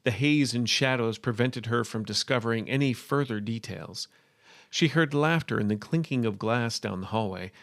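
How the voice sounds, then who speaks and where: A male storyteller with plenty of vocal fry and a medium pitch. He narrates fiction and author's notes. The recording is close and very dry. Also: normal speed, fairly bright tone, no echo.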